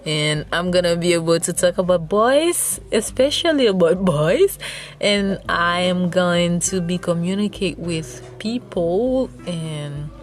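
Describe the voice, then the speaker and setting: A woman's voice: on the low side, animated, slow pace. A young woman from Haiti with a Haitian accent, whose first language is Creole, talking casually and rambling on her own podcast, often giggling. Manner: chatty, rambling, with frequent giggles. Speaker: podcast host. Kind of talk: casual solo podcast monologue.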